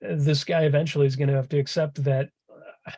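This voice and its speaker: A man's voice: a little high, flat delivery, fairly quick, a little mellow. A man in his fifties making his case in a casual panel discussion, emphatic and arguing point by point. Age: 50s.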